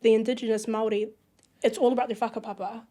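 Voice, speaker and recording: A woman's voice: fairly high pitch, little pitch movement, fast talker, mellow. A woman from Iraq, a podcast guest, talking reflectively and searching for words in a casual interview.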